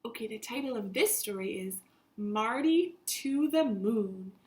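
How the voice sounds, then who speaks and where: A woman's voice: a little high, animated, measured pace, darkish tone. A woman teacher reading a story aloud to young children, with simple, instructive phrasing and repetition.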